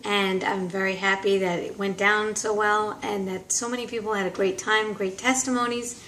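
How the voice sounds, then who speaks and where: Female vlogger, casual and earnest, reflective and rambling, voice a bit hoarse with a cold; roomy sound with faint background noise. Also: mid-range voice, fairly even intonation, normal speed, fairly bright tone, distant mic. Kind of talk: casual reflective monologue to camera.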